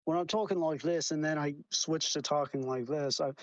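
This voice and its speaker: A man's voice: fairly high pitch, normal speed, no echo, poor audio. A man in an online voice chat argument, stammering and restarting as he defends himself. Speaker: debate participant defending himself.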